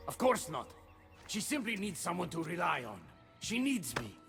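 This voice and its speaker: A man's voice: high-pitched, big pitch swings, moderate pace, gravelly, exaggerated voice. An actor playing an anxious, devoted male god in a video game cutscene, speaking in an earnest, theatrical, formal way. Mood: devoted, defensive. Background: music.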